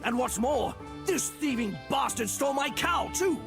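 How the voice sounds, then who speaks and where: Gravelly high male voice, quarrelsome villager, scornful, self-righteous, animated, medieval game. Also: normal speed, music in the background, full character voice. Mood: angry, accusing. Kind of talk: video game cutscene acting.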